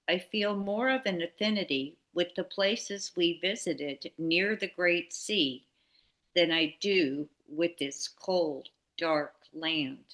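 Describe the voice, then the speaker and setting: A woman reading a book passage aloud, slow and measured, on a video call. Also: fairly low pitch, fairly bright tone. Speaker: book study reader.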